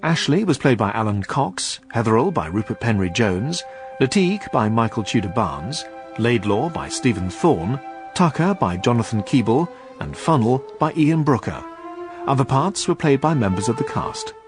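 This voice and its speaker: A man's voice: medium pitch, big pitch swings, normal speed. Male radio announcer over music. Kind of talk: scripted radio credits announcement.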